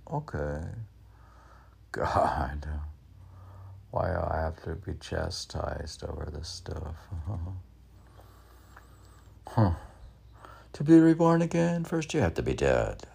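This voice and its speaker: A man in his sixties rambling alone between lines of his own poetry, halting, questioning himself and repeating phrases. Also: a bass voice, gravelly, darkish tone, breathy edge. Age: 60s. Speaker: poet reading own work and commenting. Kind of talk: rambling solo monologue mixed with poem reading.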